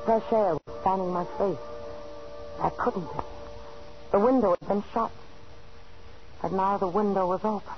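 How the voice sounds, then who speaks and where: An actress playing an anxious, pleading wife in danger in a 1940s radio thriller. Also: female voice, medium pitch, unhurried, pauses often, music in the background, natural voice. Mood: tense narration. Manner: anxious, dramatic. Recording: very noisy, dull old radio broadcast.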